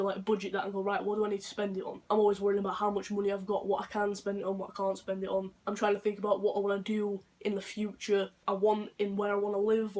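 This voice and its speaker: A young man with a deep voice, talking unscripted in a rambling, earnest vlog monologue. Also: darkish tone. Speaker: vlogger.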